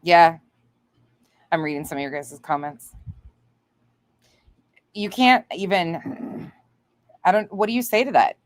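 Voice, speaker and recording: Female livestream commentator, lower register, indignant and foul-mouthed, recorded close and dry. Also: animated, takes it slowly, long pauses, dry recording. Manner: blunt, indignant, heavily swearing. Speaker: livestream host. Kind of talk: livestream commentary reacting to another creator, chatting with viewers.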